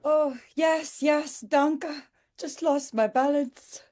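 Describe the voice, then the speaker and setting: Slow, sweet old widow shopkeeper, played by a woman. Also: sits high, fairly even intonation, darkish tone. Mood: flustered, grateful. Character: sweet, wholesome old Austrian widow shopkeeper in her seventies. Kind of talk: table read of a romcom script.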